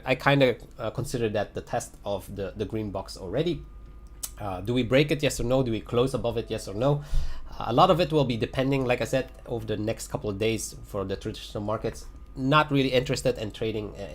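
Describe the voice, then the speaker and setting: A man giving casual livestream commentary on trading charts, hesitant and full of fillers, often repeating himself. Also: fairly low pitch. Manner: hesitant, many fillers, repeats phrases, chuckles. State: voice getting raspy after a long stream. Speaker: crypto chart analyst on a livestream.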